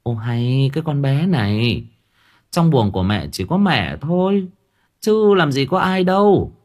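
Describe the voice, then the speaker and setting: A man's voice: mid-range voice, big pitch swings, a little slow, a little mellow, voice pushed up. A man voicing a worried older mother-in-law who scolds and coaxes. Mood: alarmed. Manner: scolding, fussing, coaxing.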